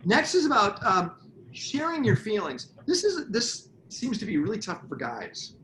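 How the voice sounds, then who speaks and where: A middle-aged man co-hosting a relationship talk show, jokey and animated, prompting his partner to explain. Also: sits high, a little slow, slight background noise. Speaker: podcast co-host. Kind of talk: casual chat. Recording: echoey room, faint ambience.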